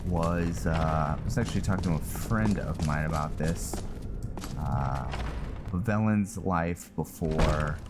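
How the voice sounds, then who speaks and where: A man's voice: low-pitched, takes it slowly, background noise. A man doing casual, rambling game commentary, thinking aloud hesitantly and in fragments. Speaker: gaming host narrating a roleplay playthrough. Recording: loud game music behind the voice. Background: video game music and sounds.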